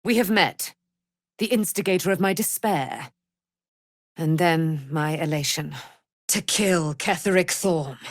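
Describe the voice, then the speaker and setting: A righteous immortal warrior woman, born of a moon goddess, in theatrical fantasy game style. Her voice sits a little low and moves at a very measured, stately pace. The delivery is proud, formal and grandiose, captured close and dry. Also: no echo. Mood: tender, solemn.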